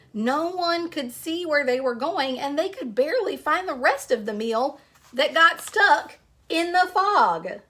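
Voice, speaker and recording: Female storyteller for young children: high, animated voice, picture book narration with chatty asides. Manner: expressive, addresses young listeners with questions. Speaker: storyteller reading aloud to children.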